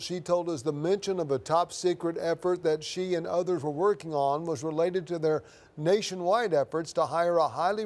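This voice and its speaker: A man anchoring the news, reading scripted copy in a broadcast delivery. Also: a little high.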